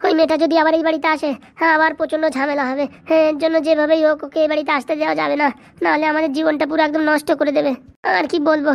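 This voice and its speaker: A woman's voice: sits high, little pitch movement, rapid-fire, gravelly. A woman voicing an anxious, nagging young village wife in a comedy cartoon, plaintive and worried. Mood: worried grumbling. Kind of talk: dubbed comedy cartoon dialogue.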